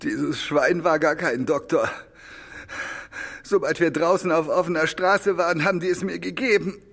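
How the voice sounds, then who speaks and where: An actor playing a battered, outspoken army major in a German radio play. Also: male voice, on the high side, fairly even intonation, normal speed, creaky, a bit bright. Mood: groggy, pained.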